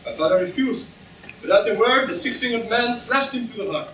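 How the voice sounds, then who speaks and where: A man's voice: very high voice, a little fast, sharp tone. A young man acting a courteous, earnest Spanish swordsman in a theatrical staged reading. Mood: solemn. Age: young adult. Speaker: student actor in a staged reading. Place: lecture room stage before an audience. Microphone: far camera in the audience. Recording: distant, echoey room with noise.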